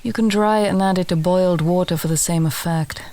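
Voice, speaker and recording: A woman's voice: lowish voice, fairly even intonation, brisk, dark tone, close to an everyday voice. A woman playing a chatty, drily humorous alchemist and herb gatherer in a fantasy audio roleplay, talking straight to the listener. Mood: friendly, instructive. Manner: conversational, instructive, drily humorous.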